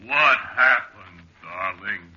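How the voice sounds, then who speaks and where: Bright, grumbling giant soldier. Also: male voice, fairly low pitch, animated, slow, full character voice. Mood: amazed. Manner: exclamatory, complaining. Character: grumbling, cramped giant soldier man thirty feet tall. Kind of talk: 1940s radio acting. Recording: dull old radio recording, roomy echo.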